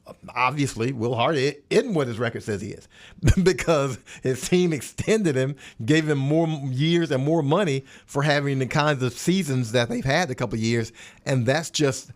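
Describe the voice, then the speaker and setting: A man's voice: medium pitch, moderate pace. A man talking sports casually as a podcast panelist, emphatic and repeating words for stress. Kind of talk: casual sports roundtable discussion.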